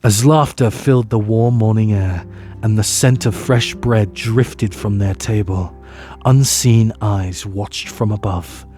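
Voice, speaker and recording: A man narrating a scripted fantasy tale as storyteller, measured and solemn, with dramatic pauses. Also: fairly low pitch, dark tone. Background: music.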